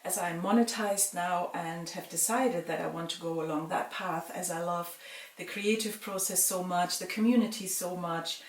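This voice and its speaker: A middle-aged woman from Germany calmly answers viewer questions in a casual vlog, pausing with frequent ums. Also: on the low side, recorded from a distance. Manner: calm, measured, with frequent ums. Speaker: vlogger. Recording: roomy sound from away from the mic.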